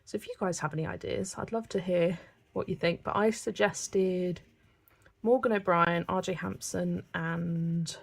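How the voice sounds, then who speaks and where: A woman's voice: on the low side, creaky, breathy edge. A woman from England, chatting casually and reading viewer comments while she colours on a livestream. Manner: chatty, relaxed, thinking aloud with small laughs.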